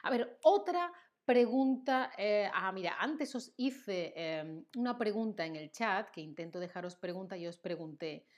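A woman's voice: medium pitch, expressive, darkish tone. A young woman from Seville with an Andalusian Spanish accent, hosting a playful live-stream Spanish lesson, chatting with viewers and laughing often. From Seville, southern Spain. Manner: playful, chatty, laughs often, reads chat names aloud; lists words slowly with hesitant fillers.

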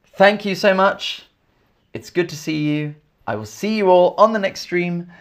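A man from England with an English accent, teaching a live online English lesson, slowly repeating each question and thanking viewers by name. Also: high-pitched. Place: indoors, in Scotland.

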